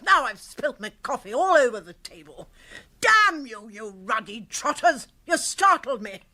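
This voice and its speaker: Irascible upper-class English hostess, middle-aged, high, very animated, blunt, blustering, radio drama. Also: female voice, unhurried, exaggerated voice. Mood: exasperated. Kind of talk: comic radio drama acting.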